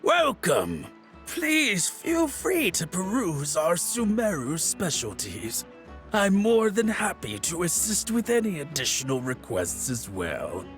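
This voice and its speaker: Courteous shopkeeper with swooping pitch. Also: male voice, wildly swooping pitch, slow pace, a bit bright, faint music behind, heavily acted. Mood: welcoming. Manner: courteous, salesman-like, formal. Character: polite, obliging male shopkeeper. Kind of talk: video game voice acting.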